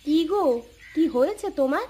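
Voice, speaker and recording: Extremely high-pitched village wife played by a woman. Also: animated, moderate pace, mellow, slight background noise. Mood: bewildered. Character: puzzled, caring village wife. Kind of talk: Bengali cartoon voice acting.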